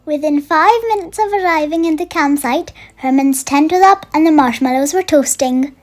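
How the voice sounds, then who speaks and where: A storyteller reading a children's bedtime picture book aloud in an expressive way, made for young listeners. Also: high-pitched, moderate pace. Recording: music under the voice. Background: music.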